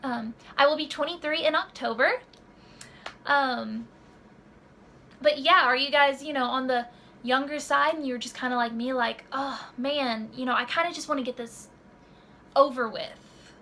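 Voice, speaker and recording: A woman's voice: sits high, a little slow, long pauses, bright, forceful tone. Young woman, rambling vlog chat, lots of fillers, camera mic, faint hiss. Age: 20s. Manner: rambling, many fillers and restarts. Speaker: vlogger. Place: home room.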